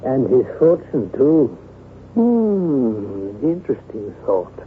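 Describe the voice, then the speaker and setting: Sly, idle gentleman fop, mid-pitched, drawling and arch, vintage radio drama with narrow, tinny sound. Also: very animated, takes it slowly. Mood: slyly insinuating. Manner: drawling, genteel, arch musing. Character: languid, foppish, slyly insinuating gentleman friend. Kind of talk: old-time radio drama acting. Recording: noisy, tinny old radio broadcast.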